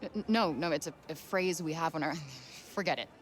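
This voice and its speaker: An actress playing a sarcastic, reluctant young heroine in a video game, with a casual, offhand delivery. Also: female voice, low voice, a little fast, lightly acted. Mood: flustered. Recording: game music behind the voice.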